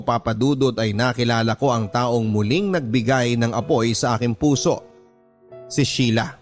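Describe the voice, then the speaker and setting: A man with a Filipino accent reading first-person dramatic narration as a radio drama storyteller, in a measured, sentimental way. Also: medium pitch. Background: music bed.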